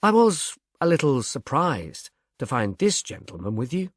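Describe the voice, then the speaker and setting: A man's voice: mid-range voice, very animated, normal speed, no echo, clean studio sound. A man voicing a reserved police inspector in an audiobook, formal, impassive and courteous. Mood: grave, courteous.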